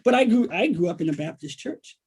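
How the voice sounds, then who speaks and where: An American man, a writer, speaking reflectively and haltingly in a literary conversation, repeating phrases for emphasis. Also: sits high, animated, darkish tone, breathy edge. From Washington, D.C., United States. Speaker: poet and writer, guest author.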